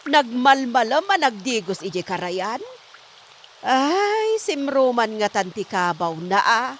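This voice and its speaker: A woman's voice: on the high side, big pitch swings, takes it slowly, a little hiss or hum. A woman playing a suspicious, scolding middle-aged wife in an Ilocano comedy drama, with an Ilocano accent. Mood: insistent.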